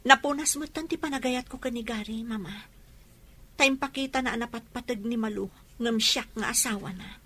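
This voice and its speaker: High-voiced betrayed young wife played by an actress. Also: female voice, normal speed, a bit bright, husky. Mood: bitter. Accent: Ilocano. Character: pregnant betrayed young wife, bitter but determined. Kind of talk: Ilocano audio drama acting.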